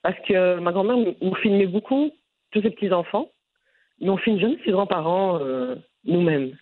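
A woman from Brussels with a Brussels French accent, answering interview questions in an animated, frank way with frequent restarts. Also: lowish voice, normal speed, no room sound. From Brussels, Belgium. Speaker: content creator guest. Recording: phone-line audio.

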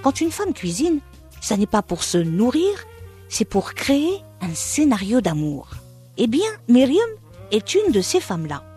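A woman host reading a scripted introduction and asking interview questions in an expressive presenter manner. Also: a little high, measured pace, faint music behind. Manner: lively, expressive presenter delivery.

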